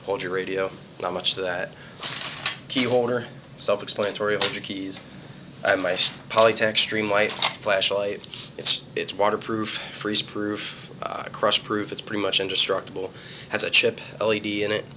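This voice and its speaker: A man's voice: on the low side, flat delivery, normal speed, noisy background. A man giving a casual, plainspoken walkthrough of his police gear, explaining things simply and sounding sniffly from a cold. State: sniffly, getting over a cold.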